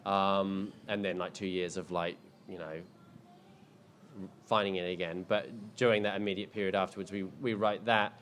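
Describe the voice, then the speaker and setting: A man's voice: sits low, little pitch movement, moderate pace, a bit bright, a little hiss or hum. A man in his thirties, a filmmaker answering audience questions in a casual, rambling way, full of ums and restarts. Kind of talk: casual panel Q&A. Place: indoor screening event.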